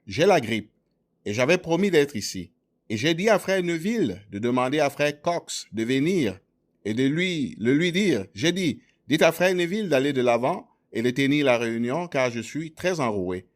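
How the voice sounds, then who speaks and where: A man interpreting a sermon, rendering the preacher's words in a measured, plain way. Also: mid-range voice, expressive. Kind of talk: translated sermon voice-over.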